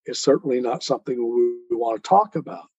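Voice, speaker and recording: A man speaking as an interviewed author in an earnest, measured, deliberate and reflective way. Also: medium pitch, lively intonation, darkish tone, husky, no echo, dull audio.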